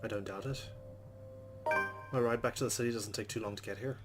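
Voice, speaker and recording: A man's voice: on the low side, steady tone, brisk, faint music behind. A man hosting a let's play, reading visual novel narration aloud and adding casual commentary.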